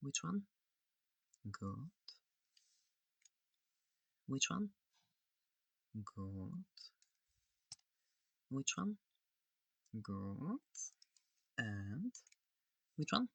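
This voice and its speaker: Slow, breathy ASMR alien examiner with creaky voice. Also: medium pitch, very animated, fairly bright tone, no echo. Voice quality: breathy, often dropping to a whisper. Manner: slow, halting, clinical yet reassuring. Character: curious, gentle alien examiner studying a human. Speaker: ASMR performer. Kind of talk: soft-spoken ASMR roleplay. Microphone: close, dry microphone.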